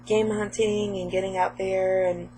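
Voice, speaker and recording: Hoarse, sniffly woman chatting about games. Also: mid-range voice, flat delivery, unhurried, dark tone, a little hiss or hum, poor audio. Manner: chatty, rambling, many fillers. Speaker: game collecting vlogger. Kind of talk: casual chat about game pickups.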